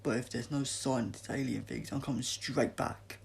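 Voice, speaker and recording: A man reads a stroppy young woman athlete in a storybook adventure. She sounds suspicious and grumpy, with a sneering edge and a gravelly creak. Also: medium pitch, steady tone, normal speed, slight background noise.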